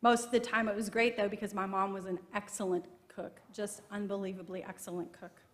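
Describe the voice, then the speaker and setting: A woman's voice: mid-range voice, level tone, a little fast. A middle-aged woman pastor, preaching a sermon from the pulpit in a conversational storytelling way. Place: church sanctuary. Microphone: pulpit microphone. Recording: long reverb from a church sanctuary.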